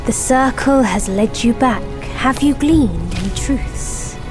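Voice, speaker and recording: A woman playing a solemn, enigmatic guide in a video game, speaking in measured, portentous tones. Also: fairly high pitch, animated. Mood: solemn, knowing. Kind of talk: dramatic video game cutscene acting. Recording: loud music behind the voice. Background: loud cinematic music.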